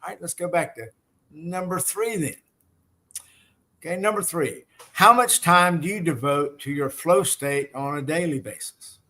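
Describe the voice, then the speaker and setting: A man's voice: a little high, unhurried, bright, forceful tone. A man with a Southern US accent hosting an interview, encouraging and affirming, often saying "wonderful" and "yes.". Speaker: host. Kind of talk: casual interview chat.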